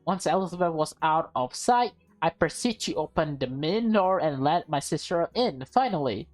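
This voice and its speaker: A woman's voice: deep voice, lively intonation, moderate pace, soft background music. A woman reading a visual novel aloud with casual reactions, stumbling over lines and rereading them. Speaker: game player and streamer.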